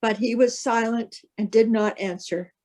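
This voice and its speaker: Woman narrator, fairly high voice, solemn scripture reading, measured careful phrasing. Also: fairly even intonation, a little mellow.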